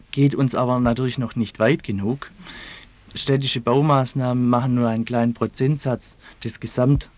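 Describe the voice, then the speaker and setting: A man's voice: medium pitch, darkish tone. A man speaking as an activist spokesperson, giving an answer in an interview.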